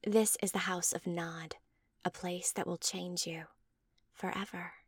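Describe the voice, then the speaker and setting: A woman voicing a devoted, eager-to-please mother in a story, gushing and persuasive, with breathy emphasis. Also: fairly low pitch, steady tone, measured pace, dry recording, clean studio sound. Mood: intense, rapturous. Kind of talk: scripted story dialogue.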